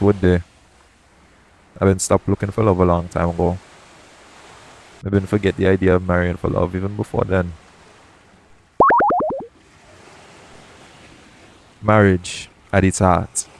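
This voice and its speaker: A man playing a shady, jaded yet tender pirate captain in an intimate audio roleplay, talking colloquially and frankly, with gentle teasing. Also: low-pitched. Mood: frank, resigned. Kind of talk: intimate audio roleplay acting addressed to the listener. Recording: clear background noise, ambient sound bed.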